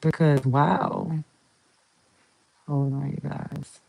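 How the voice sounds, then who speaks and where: A woman's voice: deep voice, level tone, drawn-out words, creaky, a little mellow, no echo, low-quality recording. A woman with a Southern US accent giving a chatty, rambling tarot reading, playful and talkative as she pulls cards. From Southern United States. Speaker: tarot reader.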